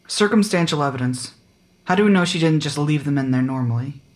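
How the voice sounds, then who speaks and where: A woman playing a gruff, jaded small-town sheriff in an audio drama, with a blunt, dry, world-weary manner. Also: low voice, expressive, a little fast, a little mellow. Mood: skeptical.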